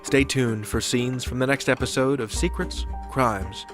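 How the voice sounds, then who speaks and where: Male host for drama podcast outros: measured, slightly theatrical scripted announcing, medium pitch, over a music bed. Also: steady tone.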